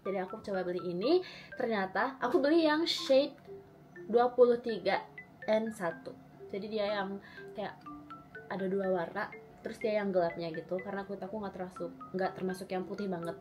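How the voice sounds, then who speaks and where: A young woman in her twenties, slightly hoarse from a recent cold, chatting casually and rambling with lots of fillers and giggles while she does her makeup at home. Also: mid-range voice, moderate pace, a little mellow, soft background music. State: recovering from a cold. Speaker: beauty vlogger. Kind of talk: casual makeup vlog chat.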